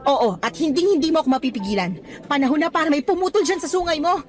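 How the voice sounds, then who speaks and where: A woman's voice: sits high, quick pace, natural voice. An actress playing a stern, principled young female teacher, scolding earnestly and emphatically in a radio drama. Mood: indignant, resolute. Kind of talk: Tagalog radio drama acting. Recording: music bed behind the voice.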